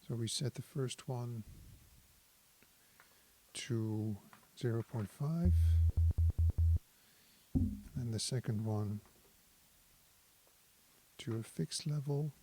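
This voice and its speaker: A man giving a casual technical tutorial, thinking aloud in a hesitant, halting, analytical way. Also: mid-range voice, warm tone, slight background noise, poor audio. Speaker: synthesizer demonstrator.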